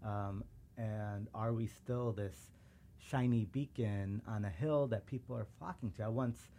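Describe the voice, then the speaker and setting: Earnest man with a mellow low voice. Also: unhurried, slight background noise. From suburban Chicago, USA. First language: Urdu. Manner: reflective, earnest, acts out quoted remarks. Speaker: podcast guest, civil rights lawyer. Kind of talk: casual interview conversation.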